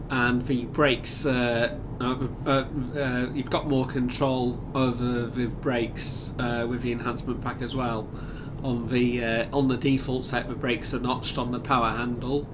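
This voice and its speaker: Congested man, flat voice, loud noise behind. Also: medium pitch, a little slow, few pauses. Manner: hesitant, many fillers and restarts. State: recovering from a cold, congested. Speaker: gaming video host. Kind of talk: casual gameplay commentary.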